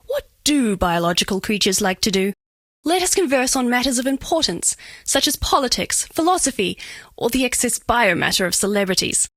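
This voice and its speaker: High, lilting, curious alien crystal woman. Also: a bit bright, no room sound, studio quality, full character voice. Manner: stilted, precise, overly clinical phrasing. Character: female alien crystal being, curious, formal, awkwardly flirtatious. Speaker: video game voice actress. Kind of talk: scripted dating-game character voice lines.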